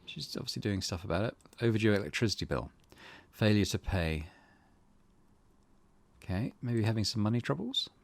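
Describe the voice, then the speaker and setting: A man's voice: lowish voice, lively intonation, moderate pace, vocal fry, pauses often. A man hosting a let's play, reading game text aloud and commenting on it in a thoughtful, musing way.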